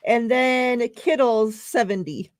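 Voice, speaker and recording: A woman's voice: a little high, slow, dry recording, studio quality. A woman hosting a casual live-stream chat, laughing often and reading out giveaway numbers. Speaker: show host.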